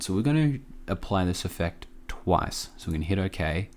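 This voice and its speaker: A man with a low voice walks through a software tutorial casually. He sounds a little under the weather and talks in an offhand, halting way, close to the mic. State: sick with a cough. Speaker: tutorial presenter. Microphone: close microphone.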